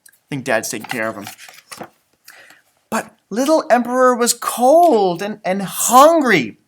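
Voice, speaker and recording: Animated man reading to young children. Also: sits high, a little slow, slight background noise. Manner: animated, exaggerated, teacherly, repeats phrases for children. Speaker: music teacher reading storybooks aloud to children. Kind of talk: children's storybook read-aloud with chatty asides.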